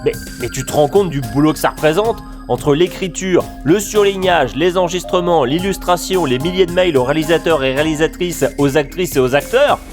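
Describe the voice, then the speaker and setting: A man's voice: a little high, a little fast, hardly any pauses, over music, close to an everyday voice. A man playing a wry, sentimental old pal who exclaims easily in an audio comedy. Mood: amazed. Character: wry, easily impressed old male buddy on a tour. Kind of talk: audio fiction comedy acting.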